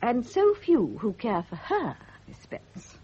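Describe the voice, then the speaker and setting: A woman's voice: fairly high pitch, wildly swooping pitch, slow, slight background noise, heavily acted. A woman acting in a radio drama as a middle-aged private nurse, gossipy and self-satisfied, with a knowing, condescending way of insinuating things. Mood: brisk, alarmed. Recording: dull, muffled vintage radio recording.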